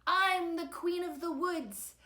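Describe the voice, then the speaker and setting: A woman's voice: mid-range voice, a little slow, sharp tone. A woman voicing a loving, playful storybook mother, encouraging and theatrical with her young son. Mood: protective.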